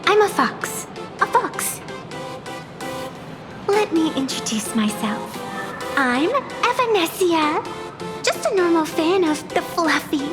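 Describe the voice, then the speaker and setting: A gushing young fox girl from a video game. Her voice sits extremely high and swoops sweetly, with wheedling, theatrical flattery. Music plays clearly behind her. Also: slow pace, long pauses, exaggerated voice. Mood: adoring, sweet.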